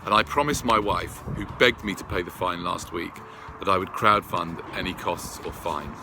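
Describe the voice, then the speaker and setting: A man earnestly reading out a prepared personal update to his followers in a deliberate way. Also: sits low, vocal fry, background noise. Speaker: video creator addressing followers.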